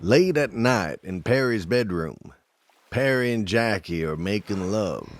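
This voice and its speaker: A man's voice: on the low side, animated, a little slow, dry recording. A man reading scripted scene narration as the narrator of an audio drama.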